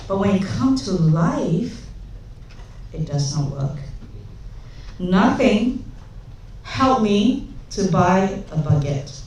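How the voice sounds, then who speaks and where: A woman's voice: mid-range voice, a little mellow, slight background noise. A young woman from Singapore gives a humorous conference talk, telling chatty anecdotes with many fillers. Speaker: conference speaker. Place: large conference hall. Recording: echoey hall, speaker far from mic.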